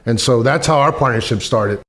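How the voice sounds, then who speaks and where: A man who grew up between El Paso and East Los Angeles, speaking quickly and personally as a radio guest. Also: medium pitch, fairly bright tone, poor audio. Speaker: youth program staff, guest. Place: radio studio.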